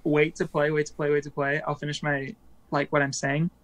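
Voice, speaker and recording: Chatty man with a slightly high voice. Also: little pitch movement, a little fast, fairly bright tone. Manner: chatty, rambling with many fillers and laughs. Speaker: band musician, guest. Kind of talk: casual interview chat.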